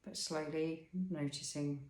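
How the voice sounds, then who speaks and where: A man leading a guided body scan as an instructor, speaking in a soothing, unhurried way with pauses and gentle questions. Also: on the high side, completely flat delivery, echoey room.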